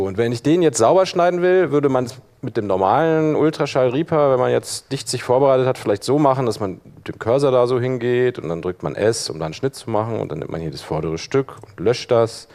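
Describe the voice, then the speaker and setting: A man's voice: lowish voice, gravelly, a little mellow. Casual man presenting a live workshop. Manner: casual, thinking aloud while demonstrating. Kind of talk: informal live software tutorial. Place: live talk in a conference room.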